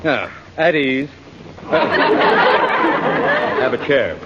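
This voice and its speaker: A man's voice: mid-range voice, big pitch swings, drawn-out words, a loud crowd, heavily acted. An American man playing a pompous, officious middle-aged school principal and former army major, hemming his way through a 1940s radio sitcom. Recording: dull, very noisy old radio recording, audience.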